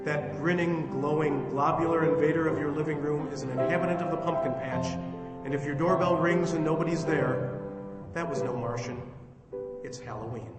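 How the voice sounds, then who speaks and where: A man's voice: on the high side, moderate pace, lightly acted. A man playing a playfully grand radio host delivering a theatrical old-time sign-off, in an echoey hall with music. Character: showman host signing off a Halloween radio hoax.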